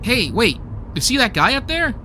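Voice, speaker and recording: A man's voice: very high-pitched, very animated, moderate pace, pushed and bright, a little hiss or hum, full character voice. A man acting in a radio play as a breezy, impulsive, good-natured driver with casual, chatty talk. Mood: easygoing, upbeat.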